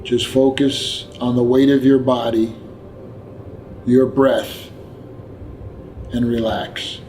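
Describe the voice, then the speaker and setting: A man narrating a guided meditation, giving gentle, unhurried instructions with long pauses. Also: medium pitch, level tone, slight background noise. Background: background music.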